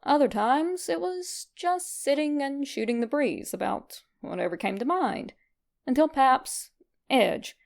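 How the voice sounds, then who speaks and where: Woman with a highish voice reading a fanfic story out loud, expressive narration. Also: measured pace, creaky. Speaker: fan fiction narrator.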